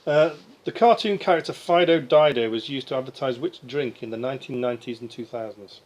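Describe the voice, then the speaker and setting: Guy hosting a quiz, rattles off questions fast, plain matter of fact, bit of noise behind. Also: medium pitch, a little mellow. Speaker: quizmaster. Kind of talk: reading pub-style quiz questions aloud, adjudicating. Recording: slightly noisy quiz room recording.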